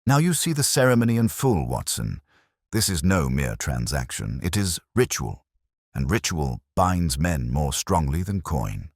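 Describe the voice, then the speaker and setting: A man voicing a commanding, coolly calculating male detective in an audiobook story, speaking with crisp, deliberate authority. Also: a bass voice, sing-song, no echo, studio quality, full character voice. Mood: calm, calculating.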